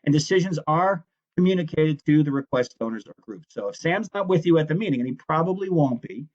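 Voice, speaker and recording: A man's voice: fairly high pitch, animated, fairly quick, a little mellow, highs cut off. A man teaching a recorded lecture in a conversational, explanatory way, often stopping to correct himself mid-sentence. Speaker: instructor. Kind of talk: recorded lecture on project management.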